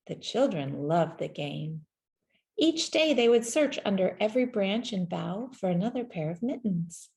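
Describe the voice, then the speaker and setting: A woman narrates a children's picture book aloud. Her voice is mellow and relaxed, sitting at an average pitch. She takes her time, measuring out each phrase gently and leaving deliberate pauses. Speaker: storybook reader. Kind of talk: scripted children's story narration, read aloud.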